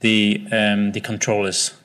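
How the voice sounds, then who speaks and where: A man's voice: fairly low pitch, completely flat delivery, unhurried, a bit bright, clean tone. A man in an audience putting a spontaneous question to a speaker, in hesitant, broken phrases. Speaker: audience member asking a question.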